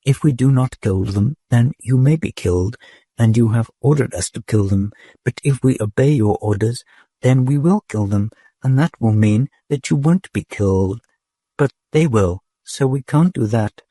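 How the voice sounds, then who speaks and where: A man's voice: medium pitch, normal speed, dark tone, no room sound, close to an everyday voice. A man voicing a male patrol robot that reasons in circles, repeating itself over and over. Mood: looping. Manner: repetitive, looping reasoning. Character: male patrol robot caught in a logic loop.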